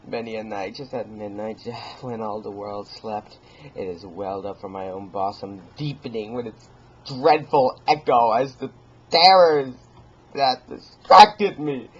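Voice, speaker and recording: A man voicing a manic madman in a gothic horror story, halting and stammering as he repeats words and swings between hushed and agitated speech. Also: on the low side, vocal fry, heavily acted. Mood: hushed, brooding. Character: manic, stammering madman gleefully confessing his stalking of an old man. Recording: dull lo-fi home recording, faint noise.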